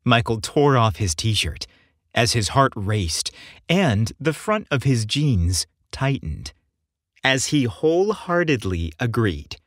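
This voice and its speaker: Male podcast narrator, unhurried and seductive, lively intonation, telling a scripted story over faint music. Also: medium pitch, no room sound, studio quality.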